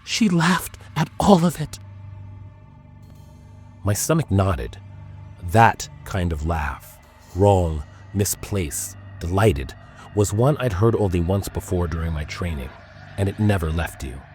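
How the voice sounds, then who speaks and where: Low, dramatic male horror narrator. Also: expressive, a little mellow. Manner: dramatic, ominous, deliberate phrasing. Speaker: audiobook narrator. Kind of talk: scripted first-person horror narration. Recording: music under the voice.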